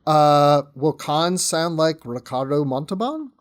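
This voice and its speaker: A man's voice: a little high, lively intonation, takes it slowly. A man chatting as a guest in a casual fan podcast discussion, conversational with tentative asides.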